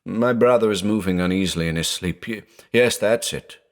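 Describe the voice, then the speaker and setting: A man's voice: lowish voice, normal speed, natural voice. A man voicing a terrified blind man alone at night, frantic and pleading, breaking into shrieks. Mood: uneasy, reasoning.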